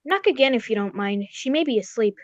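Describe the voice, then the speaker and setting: A woman playing a genteel older gentleman doctor in an old-time radio drama, speaking formally and with old-fashioned measure. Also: on the high side, animated, a little fast, dry recording, lo-fi sound, close to an everyday voice. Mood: earnest. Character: Doctor Watson, genteel older gentleman narrator and loyal companion.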